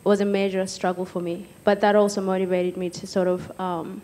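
A young woman from Egypt speaking hesitantly as a panellist on stage, pausing often, into a handheld microphone. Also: medium pitch, little pitch movement, dark tone. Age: young adult. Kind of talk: personal account in a panel discussion. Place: stage in an auditorium with audience.

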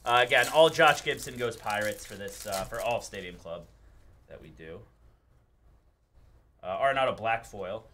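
Bright-voiced young man calling card pulls. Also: on the low side, lively intonation, normal speed, pauses often. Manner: rattles off player names while chatting. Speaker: card break host. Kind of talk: live card break commentary. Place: studio with table, indoors.

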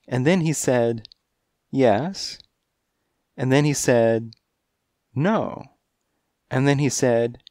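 A man doing a coy, breathy lady cat. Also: mid-range voice, darkish tone, dry recording, studio quality, voice pushed up. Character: flirtatious dainty female cat client, dramatic.

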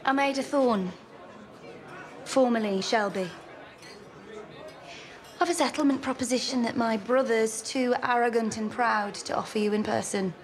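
Casting: actress for poised young Englishwoman of a crime family, period drama, higher voice, dry authority. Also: a faint crowd, lightly acted. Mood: cool, commanding. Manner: cool, measured, dryly assertive. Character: poised, commanding young woman of a gangster family. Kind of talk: period television drama dialogue.

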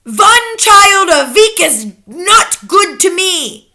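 Storybook villain: imperious, furious witch queen, shrill and forceful, stretching her words. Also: very animated, full character voice. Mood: imperious, demanding. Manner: screaming, imperious, drawn-out menacing delivery. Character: furious tyrannical female Grand High Witch.

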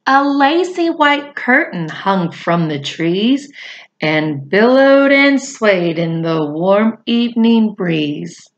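A woman's voice: mid-range voice, highs cut off. A middle-aged woman reading a picture book aloud to children expressively, with chatty asides. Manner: expressive, drawn-out delivery addressed to young children. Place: small indoor room at home.

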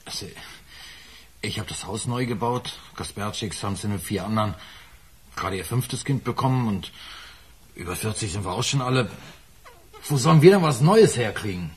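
Ein Schauspieler spielt im Hörspiel einen besorgten, bodenständigen Aufzugmonteur über vierzig. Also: male voice, on the low side, expressive, moderate pace, pauses often, breathy edge. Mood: worried, pleading. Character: worried, down-to-earth lift fitter over forty. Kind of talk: radio drama acting.

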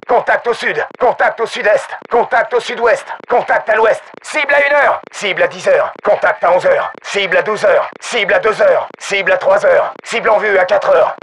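An actor playing a tense, commanding special forces soldier in a military shooter game, giving short, urgent, clipped combat callouts. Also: male voice, high-pitched, fairly bright tone, slight background noise.

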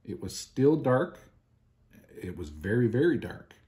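Man reading a storybook, mellow voice. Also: medium pitch. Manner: expressive, deliberate with emphatic pauses. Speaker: storybook reader. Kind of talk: children's picture book read-aloud. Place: home living room, indoors.

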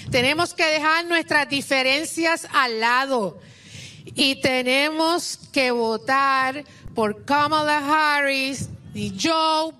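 A woman's voice: high voice, pushed and bright, a little hiss or hum. A middle-aged woman from Puerto Rico with a Puerto Rican Spanish accent, giving an impassioned political speech at an outdoor podium mic. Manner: impassioned, exhorting the crowd. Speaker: rally speaker.

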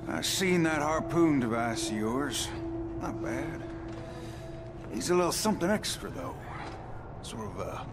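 A man's voice: on the high side, very animated, unhurried, long pauses, over loud music. An actor playing a crafty male wasteland fixer in a game, talking in a folksy, dealmaking drawl. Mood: sly.

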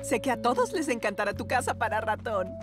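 A woman's voice: a little high, lively intonation, a little fast, lightly acted. A woman playing a cheerful mother pug in a dubbed children's cartoon. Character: warm young mother pug. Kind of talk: Spanish cartoon dub. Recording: music bed behind the voice.

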